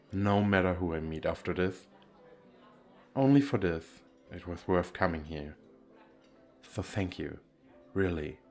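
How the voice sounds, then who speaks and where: A man playing an earnest, courteous speed-dating suitor in a romantic ASMR roleplay, speaking softly and a little hesitantly. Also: low voice, vocal fry, voice pushed down, heavily acted.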